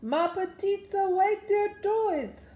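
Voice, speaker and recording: A woman's voice: very high voice, animated, slow, dark tone, pitched above the performer's own voice, full character voice. A woman voicing a little old Noel, earnest and determined in a storybook reading. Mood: worried, determined. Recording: roomy echo around the voice.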